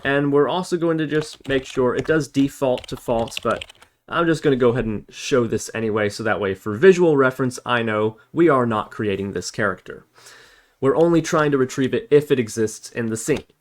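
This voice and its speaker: A man with a medium-pitched voice leads an informal programming tutorial. He talks through his work step by step, thinking aloud as an instructor does while demonstrating on screen. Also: normal speed, a little hiss or hum. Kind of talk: informal screen-recorded coding tutorial narration.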